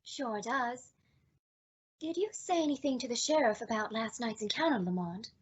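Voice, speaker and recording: A woman's voice: fairly high pitch, moderate pace, slightly rough. A woman playing a detective's nervous, loyal lady companion in an old-time style radio mystery. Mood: puzzled. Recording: dull lo-fi recording with noise.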